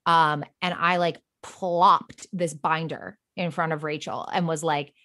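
A woman's voice: low voice, fairly even intonation, measured pace, bright, forceful tone. A young woman guest on a podcast, chatting casually in an effusive, slangy way with lots of "like". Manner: effusive, slangy, peppers talk with "like". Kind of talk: casual chat.

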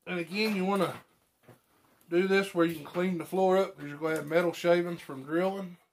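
A man's voice: high voice, steady tone, brisk. A man in his thirties giving a casual, folksy how-to, muttering to himself as he works indoors, a short distance from the camera. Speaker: DIY host. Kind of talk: casual how-to talk while working. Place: cluttered room indoors at home. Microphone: camera a short distance away. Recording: noisy room with clicking tool sounds.

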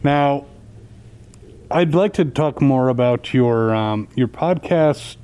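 A man's voice: mid-range voice, lively intonation, takes it slowly, dark tone, clean tone. A middle-aged man asking casual interview questions into a handheld mic through a face mask. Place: indoor lounge with sofa at a convention. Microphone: handheld interview mic, speaking through a face mask.

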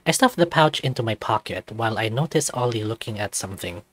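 A man's voice: fairly low pitch, lively intonation, fast, fairly bright tone, dry recording. A man reading visual novel narration aloud as a let's-play narrator.

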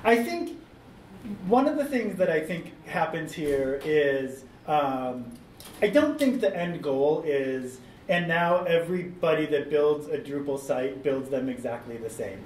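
A man's voice: a little high, animated, unhurried, a little mellow. A man on a discussion panel giving a thoughtful answer with frequent ums, recorded in a meeting room. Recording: roomy, distant mic, faint noise.

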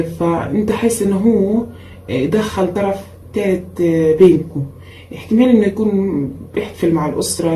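Woman tarot reader, tired voice, emphatic repeats, dark tone, faint room noise. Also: fairly low pitch, reverberant, rough recording. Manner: repetitive and emphatic, with frequent pauses and fillers. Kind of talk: casual tarot reading for viewers. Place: indoor room at a table with cards and a candle.

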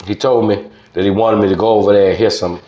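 An older man with a Louisiana Southern accent, reminiscing casually as an interview guest in an unhurried, folksy way. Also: lowish voice, fairly even intonation, dark tone. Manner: unhurried, folksy, with frequent "man" and "you know". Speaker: interview guest, music promoter. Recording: roomy, dull lo-fi sound.

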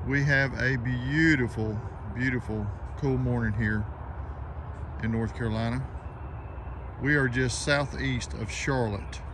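A man with a Southern US accent casually narrating his backyard chores in a folksy, plainspoken way while outdoors in a garden. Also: mid-range voice. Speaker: homesteading vlogger. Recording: outdoor background noise.

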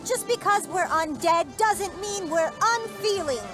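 A woman's voice: very high-pitched, animated, a little slow, over music. A woman voicing an earnest young zombie girl rallying fellow zombies. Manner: rallying, impassioned.

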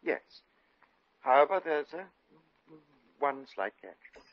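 An actor playing a bashful, stammering dress-shop owner in a 1950 radio comedy. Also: male voice, fairly high pitch, big pitch swings, takes it slowly, no echo, exaggerated voice. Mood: hesitant, eager. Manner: hesitant, stammering, nervous. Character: bashful, flustered British dress-shop owner making a proposal. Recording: muffled vintage 1950s radio recording.

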